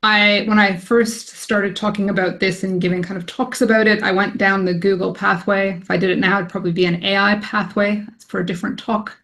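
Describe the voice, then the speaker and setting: A woman's voice: medium pitch, little pitch movement, a little fast, hardly any pauses, a bit bright. A woman in her forties with a Canadian accent, an academic statistician giving a conversational presentation full of ums. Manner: conversational, frequent ums, self-deprecating. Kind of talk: informal presentation to colleagues.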